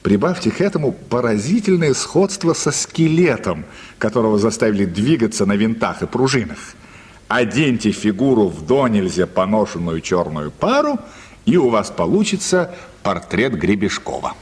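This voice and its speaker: Husky male storyteller in a reverberant hall. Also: lowish voice, very animated, moderate pace. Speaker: narrator. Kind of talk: expressive scripted storytelling for a radio play. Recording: reverberant studio hall sound.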